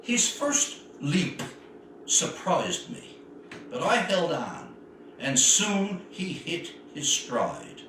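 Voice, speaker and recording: A man narrates a first-person literary story in a bright, slow voice that swoops expressively through each phrase. His delivery is dramatic and deliberate, like an author giving a reading. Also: on the high side, sing-song. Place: indoor room, live stream. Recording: echoey room, distant mic, faint noise.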